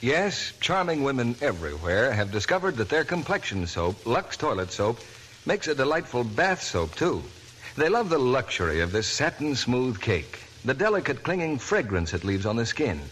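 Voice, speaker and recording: A male announcer reading a 1940s radio commercial in a smooth, persuasive way. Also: mid-range voice, sing-song, moderate pace, a bit bright. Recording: old noisy radio broadcast.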